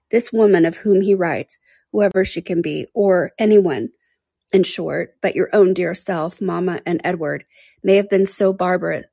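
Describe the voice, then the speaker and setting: A woman's voice: fairly low pitch, creaky, a little mellow, slightly rough, no echo, clean studio sound. A woman reading aloud and voicing a heartbroken, passionate young woman who pleads in anguish.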